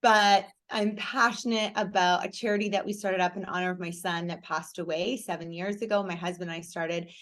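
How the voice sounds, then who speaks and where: A woman with a raspy, hoarse voice, telling her story openly and with energy as a guest in a conversation. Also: lowish voice, fairly quick, few pauses. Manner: energetic, candid, lively storytelling. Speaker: podcast guest, speaker and coach. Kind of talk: interview conversation.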